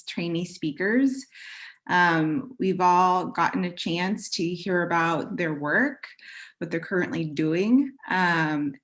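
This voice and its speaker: A young woman in her twenties moderates a virtual panel in a slightly low, soft voice. She hesitates often, filling gaps with ums, and sounds like she's on a video call. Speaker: panel moderator, graduate student.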